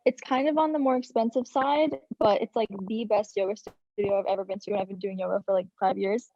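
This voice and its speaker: Chatty student with a dark, muffled voice. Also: female voice, medium pitch, steady tone, fairly quick. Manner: chatty, filler-heavy. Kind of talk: casual panel chat. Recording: dull, dry lo-fi call audio.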